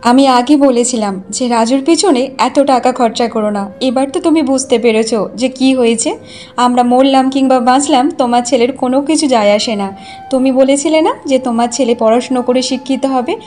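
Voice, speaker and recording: A woman's voice: high voice, moderate pace, darkish tone, lightly acted. A woman voicing a bitter, reproachful village mother in a cartoon story. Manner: reproachful, lamenting.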